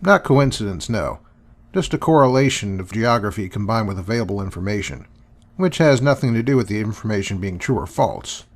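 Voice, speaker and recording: A man's voice: fairly low pitch, animated, brisk. A man hosting and answering questions in a dry, sarcastic, dismissive manner. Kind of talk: sarcastic commentary.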